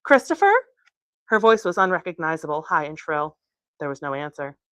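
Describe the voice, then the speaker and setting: A woman reading from a novel aloud as its author, in an expressive storytelling style. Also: deep voice, fairly quick, dry recording. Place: bookstore reading.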